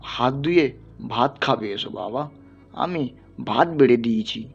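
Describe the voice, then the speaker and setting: A man's voice: medium pitch, animated, unhurried, breathy edge, dull audio, voice pushed down. A man voicing a caring, dutiful ten-year-old village girl in an audio story, earnest, questioning and anxious. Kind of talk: dramatized literary audio story. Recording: band-limited, music underneath.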